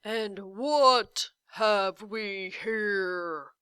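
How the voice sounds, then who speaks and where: A woman's voice: medium pitch, extremely slow, a bit bright, smooth, dry recording. A woman voicing a huge gelatinous giant in a children's storybook, blustering and ferocious yet timid and hesitant. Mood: booming, menacing. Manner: blustering, hesitant, hedging every statement. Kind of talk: children's storybook read-aloud.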